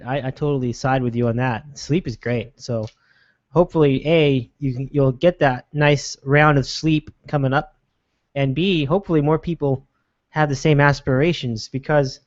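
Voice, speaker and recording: A man hosting a show, reacting warmly and upbeat, often halting and restarting his sentences. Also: medium pitch, dry recording. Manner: upbeat, affirming, halting with fillers. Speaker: host. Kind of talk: casual chat. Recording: dull, dry lo-fi sound.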